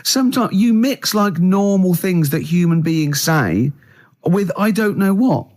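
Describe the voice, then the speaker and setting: A man's voice: high voice, expressive, unhurried, darkish tone. A male radio host in casual comic banter, teasing with bemused disbelief.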